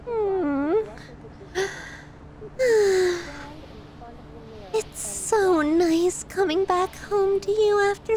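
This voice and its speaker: A woman's voice: very high voice, a little mellow, exaggerated voice. A woman voicing an obsessive, doting young yandere girlfriend in an ASMR roleplay, with a cutesy, giggly manner and an undertone of menace. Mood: tender, teasing.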